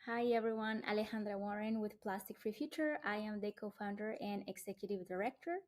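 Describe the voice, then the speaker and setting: A woman's voice: medium pitch, steady tone, fairly quick. A woman, a Spanish speaker, introducing her grassroots group on a panel in a heartfelt way, often starting with "eh.". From Colombia. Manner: warm and heartfelt, with "eh" fillers.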